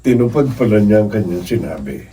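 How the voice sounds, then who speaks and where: Kindly, watchful Filipino uncle figure , male actor, radio drama. Relaxed mellow timbre, faint background noise. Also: fairly low pitch, brisk, close to an everyday voice. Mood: caring. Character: kindly, attentive older uncle and mentor. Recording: distant mic with faint noise.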